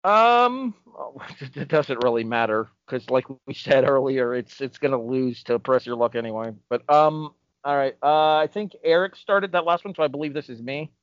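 A man's voice: medium pitch, expressive, moderate pace, clear, resonant tone, no room sound, muffled recording. A man co-hosting a podcast, talking in a casual, chatty way. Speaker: podcast co-host. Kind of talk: casual chat.

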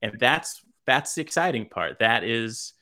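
A man from Los Angeles, a writer, answering interview questions in a monotone, hesitant way with many fillers. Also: medium pitch, unhurried. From Los Angeles, USA. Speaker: writer, podcast guest.

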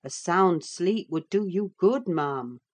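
A woman's voice: fairly low pitch, expressive, slow, darkish tone. A woman voicing a sensible, firm female servant in a classic-novel audiobook, matter-of-fact, insistent and chiding as she soothes her mistress. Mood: dry, chiding.

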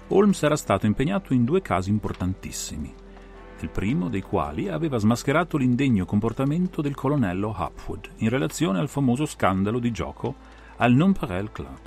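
A man's voice: medium pitch, gravelly, a little mellow. A man narrating a literary audiobook in a dramatized, storytelling style. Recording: music behind the voice. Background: music.

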